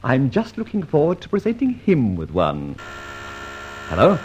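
Flippant gentleman rogue for radio drama, highish and husky, breezy banter, muffled old recording. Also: huge pitch swings, moderate pace, exaggerated voice. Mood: assured, amused. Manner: breezy, feigned innocence, bantering. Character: suave, flippant gentleman adventurer, an old Etonian.

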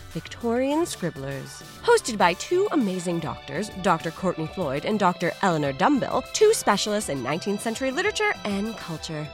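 A woman's voice: mid-range voice, pushed and bright. A woman delivering a scripted promo as a host in a playful, theatrical, exaggerated manner. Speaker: audio drama host introducing another podcast.

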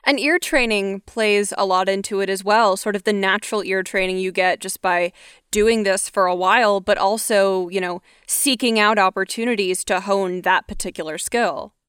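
A woman hosts a podcast interview with a very smooth, clear voice. She asks her questions in a measured, thoughtful way. The sound is dry and close. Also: mid-range voice, fairly even intonation, a bit bright, no echo.

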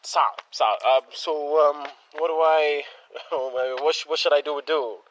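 A young man playing an eager, slangy superhero intern in an audio drama, talking in a halting, stammering way. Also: fairly high pitch, fairly bright tone, slight background noise, poor audio. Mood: giddy, tipsy.

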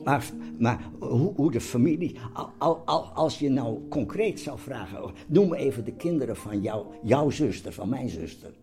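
An elderly man in his eighties, talking reflectively in an interview, halting and often repeating words as he searches for them. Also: mid-range voice, lively intonation. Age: 80s. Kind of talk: personal interview, reflective talk. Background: music.

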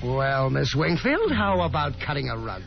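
An actor playing a confident, breezy young gentleman caller in 1950s radio drama style, with a hearty, slangy, encouraging way of talking. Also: medium pitch, huge pitch swings, normal speed, creaky. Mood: coaxing, encouraging. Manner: slangy, hearty, easygoing, with hesitant chuckles. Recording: muffled 1950s radio broadcast with music behind.

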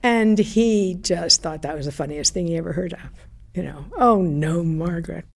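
A woman's voice: fairly low pitch, normal speed, vocal fry. An older woman being interviewed, telling chatty anecdotes about her radio days with fillers and small laughs. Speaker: former radio broadcaster interviewee. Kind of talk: reminiscing interview.

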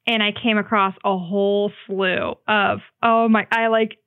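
A woman's voice: mid-range voice, steady tone, a little slow, phone-quality sound. A woman from New Jersey chatting informally as a podcast guest, peppering her sentences with "like". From New Jersey, United States. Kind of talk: casual conversational chat.

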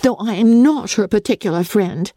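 A woman acts out a peevish young woman in a classic storybook reading. She whines with self-pity and grievance, in a soft-edged, mellow tone recorded dry. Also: mid-range voice, moderate pace, dry recording, voice pushed up, lightly acted. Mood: aggrieved. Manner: whining, aggrieved. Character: peevish, self-pitying young English sister.

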